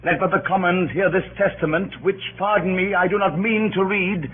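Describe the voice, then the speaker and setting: A man's voice: sits high, steady tone, normal speed, close to an everyday voice. Guy acting grieving roman orator, big theatrical speechifying to a mob, tinny hissy old radio shakespeare. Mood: measured, insinuating. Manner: theatrical, declamatory, swinging from hushed grief to cries.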